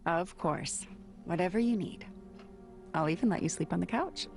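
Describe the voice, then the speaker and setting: A woman playing a supportive, gently teasing friend in a video game, speaking naturally. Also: on the low side, normal speed, vocal fry. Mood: caring, teasing. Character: caring, wry female friend of the hero. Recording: music under the voice.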